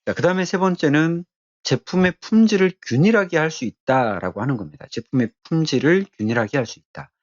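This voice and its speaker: A man giving an explanatory technical lecture as an instructor, speaking haltingly and repeating his key phrases. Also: a little high, animated, no echo, muffled recording.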